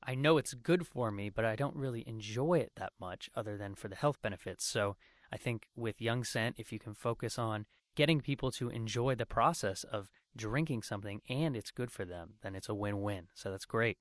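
A man's voice: on the low side, moderate pace, no echo, clean studio sound. A man interviewing a guest, affirming her answers and leading into questions with frequent fillers. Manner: affirming, with frequent "you know" and "I love that". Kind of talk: interview chat.

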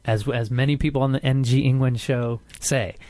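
A man's voice: mid-range voice, fairly even intonation, normal speed, a little mellow, dry recording. A man hosting a casual, conversational interview in an encouraging, supportive way. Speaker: interview host.

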